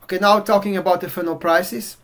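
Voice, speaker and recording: A middle-aged man from Portugal with a Portuguese accent, walking through a software demo in a relaxed way with many fillers. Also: sits high, brisk, slight background noise. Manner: relaxed, filler-heavy walkthrough. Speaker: software reviewer. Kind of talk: casual screen-share demo. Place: indoor room at home.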